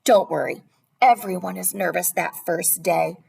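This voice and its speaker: A woman's voice: on the low side, unhurried, gravelly, a little mellow, husky, recorded from a distance, heavily acted. A woman voicing a welcoming, effusive female school principal in a picture book. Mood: reassuring. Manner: effusive, reassuring.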